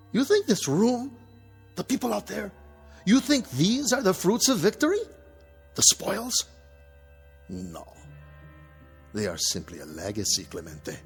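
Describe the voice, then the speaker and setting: An actor playing a deposed old dictator in a radio drama, defiant and scornful, speaking rhetorically in a gravelly, husky voice. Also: male voice, fairly high pitch, exaggerated voice. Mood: grim warning. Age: older. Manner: theatrical, rhetorical. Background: faint music.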